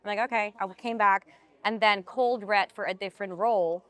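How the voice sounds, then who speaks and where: A young woman from Switzerland with a Swiss accent, an actress telling her story in a casual interview, chatty and animated. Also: medium pitch, fairly quick. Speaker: actress, podcast guest.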